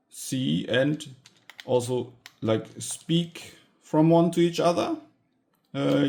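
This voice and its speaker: A man with a mid-pitched, creaky voice presents gadgets as a hobbyist host. He speaks slowly and informally. His delivery is halting, with frequent pauses partway through sentences. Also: dark tone. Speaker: tech hobbyist video host. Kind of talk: casual hands-on gadget teardown narration.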